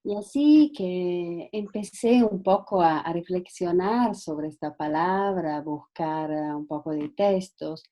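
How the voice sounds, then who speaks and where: A woman whose first language is Italian, giving a halting online talk with many fillers, restarts and searches for words, heard over a video call. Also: fairly low pitch, mellow. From Italy. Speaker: guest speaker.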